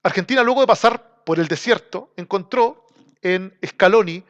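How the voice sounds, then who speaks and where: High-voiced, slangy Chilean man. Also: moderate pace, a bit bright, muffled recording. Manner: colloquial, slangy and emphatic, drinking while talking. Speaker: football show host. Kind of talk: casual football commentary to camera. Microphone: small camera.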